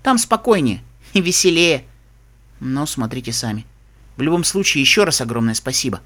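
A man's voice: mid-range voice, big pitch swings, normal speed, a bit bright, pitched below the performer's own voice. A man voicing a shy, chirpy young pony girl guide in an audiobook, speaking simply and eagerly. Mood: shy, grateful. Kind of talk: audiobook character voice.

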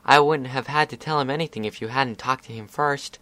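Guy doing a high-pitched upset little pony girl, stubborn, dry close sound. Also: fairly quick, bright, forceful tone, dry recording, lightly acted. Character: young female pegasus filly, stubborn and indignant.

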